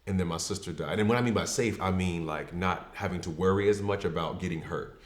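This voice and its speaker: A man in his thirties talks openly about his life, reflective and unhurried, with plenty of "um" and "like" along the way. His voice is very low and deep. The room around him is echoey and bounces the sound back. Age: 30s. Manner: reflective and open, with frequent fillers. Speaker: podcast guest. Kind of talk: candid personal storytelling.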